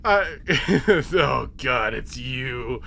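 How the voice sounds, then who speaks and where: A man hosting a podcast, giving a casual, comedic episode recap with halting, repetitive, sweary phrasing. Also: medium pitch, expressive, creaky, sharp tone. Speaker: podcast host.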